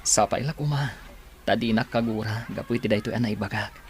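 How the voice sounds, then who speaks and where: A man's voice: on the low side, fairly quick, slight background noise, lightly acted. A man playing a shy, earnest young suitor in a radio drama, hesitant and tender. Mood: shy confession. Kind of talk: Philippine radio drama acting.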